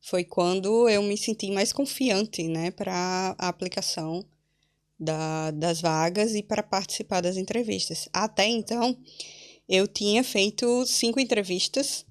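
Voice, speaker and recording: A young Brazilian woman guest with a Brazilian Portuguese accent, telling her story in a casual podcast interview with animated, laughing asides. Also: medium pitch, a little slow. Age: young adult.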